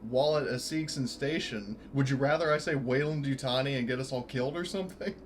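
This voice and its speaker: A man's voice: mid-range voice, fast talker. Casual male tabletop player, faint noise. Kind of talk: casual game chat.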